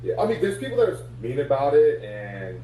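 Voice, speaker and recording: A man's voice: medium pitch, huge pitch swings, brisk, warm tone. A man, a comedian guest in a casual interview, talking things through reflectively with many fillers. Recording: echoey room, distant mic, faint hum.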